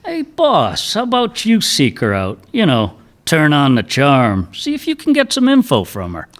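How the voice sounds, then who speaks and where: A man playing a cocky henchman in a radio play who cracks jokes at his boss. His voice swings widely in pitch. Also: huge pitch swings, normal speed. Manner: cocky, joking. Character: wisecracking henchman Holt.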